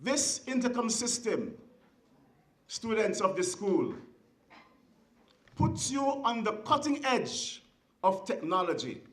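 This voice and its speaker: A speaker with a Kittitian accent giving a formal ceremonial speech in a deliberate, oratorical way, with emphatic short phrases. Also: medium pitch, very animated, fairly bright tone. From Saint Kitts and Nevis. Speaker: guest speaker at a school ceremony. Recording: echoey venue sound.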